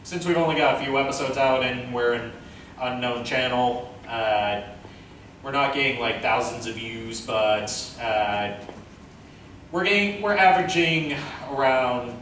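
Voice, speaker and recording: A man hosting an informal panel Q and A, speaking in a hesitant, halting way. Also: medium pitch, fairly bright tone. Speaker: panel host, web series creator. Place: convention panel room. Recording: very echoey room, distant mic, noise.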